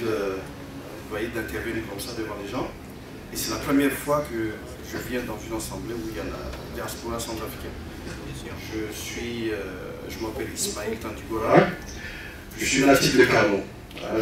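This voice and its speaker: An older man, an audience member at a community meeting, speaking emotionally and hesitantly, with rambling remarks. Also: on the low side. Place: large meeting room. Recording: echoey hall from far off, noisy.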